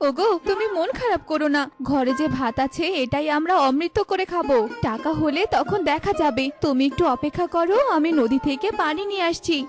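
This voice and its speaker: A woman's voice: high-pitched, brisk, hardly any pauses, over music, close to an everyday voice. A woman voicing a sweet, caring, fretful young wife in an animal cartoon, with a plaintive, solicitous manner. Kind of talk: cartoon voice acting.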